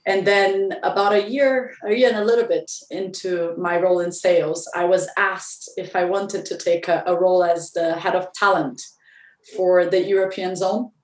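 Brief: low-voiced woman from Brazil, company president interviewed, steady and reflective, a bit far off in a roomy space. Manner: measured, thinking aloud with restarts and fillers. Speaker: company president, podcast guest. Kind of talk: business interview.

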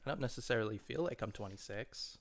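A man in his twenties, co-hosting a podcast, talking in relaxed, reflective, hedged run-on sentences. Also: mid-range voice, fast, noisy background. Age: 20s. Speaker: podcast co-host. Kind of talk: casual chat.

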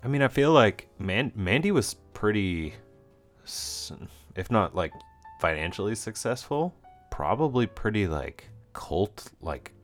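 A young man co-hosting a casual film podcast, speaking haltingly with lots of fillers. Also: on the low side, very animated. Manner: halting, filler-heavy, chuckling. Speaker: podcast co-host. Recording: faint music behind.

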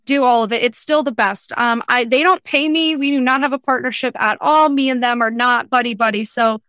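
Podcast guest, female, young adult. Forceful, clean tone, close dry sound, emphatic casual delivery. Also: a little high, fairly quick, clear, resonant tone, no room sound. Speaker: podcast guest, freelance entrepreneur. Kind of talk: casual interview chat.

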